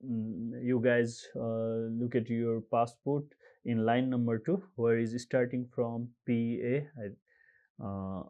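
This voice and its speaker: Slow, halting man guiding a screen walkthrough. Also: mid-range voice, fairly even intonation, phone-quality sound. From Nepal. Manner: halting, frequent uh and um fillers, reads out codes slowly. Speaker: tutorial presenter. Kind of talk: screen-recorded how-to walkthrough.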